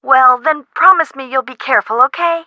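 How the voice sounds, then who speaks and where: An actress playing an excitable, worried woman homeowner in a video game, American and chatty in an emphatic way. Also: sits high, a little fast, no echo, muffled recording, lightly acted. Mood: concerned. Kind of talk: scripted game dialogue.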